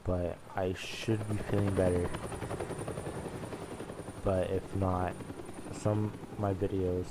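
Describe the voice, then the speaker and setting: A sick man with a deep, halting voice. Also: level tone, heavy background noise. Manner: halting, rambling. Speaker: gamer. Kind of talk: casual gaming commentary.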